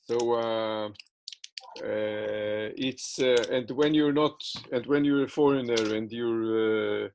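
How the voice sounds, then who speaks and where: Guy answering interview questions slow n gravelly, lots of uhs, english isnt his first language. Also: medium pitch, a little mellow, slight background noise. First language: not English. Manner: hesitant, many fillers and restarts.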